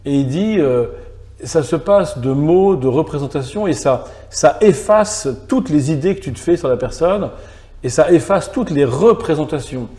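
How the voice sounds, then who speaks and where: A man's voice: fairly high pitch, lively intonation, moderate pace, dark tone. A middle-aged man, a philosopher guest, thinking aloud in long reflective sentences in a small gallery salon. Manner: thoughtful, builds ideas in long clauses. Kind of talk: reflective conversational interview.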